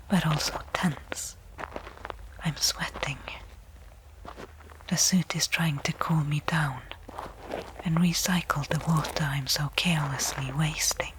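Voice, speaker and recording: A woman playing a tense stranded space explorer in a breathy, hushed ASMR audio roleplay monologue, phrasing deliberately with pauses. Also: low-pitched, rough recording. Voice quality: breathy, unvoiced whisper.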